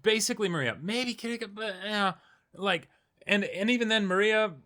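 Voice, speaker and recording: A man's voice: sits high, normal speed, pushed and bright. A man chatting casually as co-host of a reaction show, thinking aloud and repeating himself as he goes. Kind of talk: casual chat, anime discussion.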